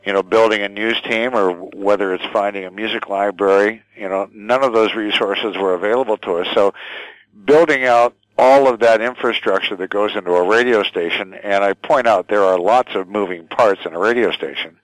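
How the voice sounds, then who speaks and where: An older man answering interview questions thoughtfully and haltingly, often repeating words as he goes. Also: lowish voice, no echo, low-quality recording. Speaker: radio station general manager, interview guest.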